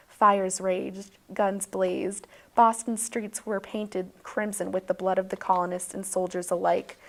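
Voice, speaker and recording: A woman's voice: mid-range voice, vocal fry. Woman telling a mock-serious bedtime story. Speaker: video host and storyteller. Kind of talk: tongue-in-cheek bedtime story narration.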